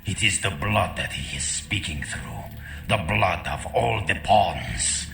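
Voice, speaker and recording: An actor playing a fanatical vampire cult leader in a video game, preaching ominously. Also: male voice, sits low, animated, takes it slowly, gravelly, fairly bright tone, roomy sound, heavily acted. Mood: fanatical menace. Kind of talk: video game villain acting.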